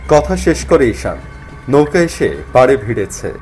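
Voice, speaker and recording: A man's voice: medium pitch, sing-song, measured pace, a little mellow, soft background music. A man narrating a scripted horror story in a dramatic first-person storytelling style.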